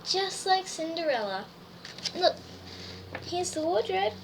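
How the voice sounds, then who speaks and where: Lady reading kids storybook aloud, high voice, expressive n wondering, faint noise behind. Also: slow. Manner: wondering, exclaiming, addressing young listeners. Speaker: storybook reader. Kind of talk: expressive children's read-aloud narration. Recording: distant mic, faint background noise.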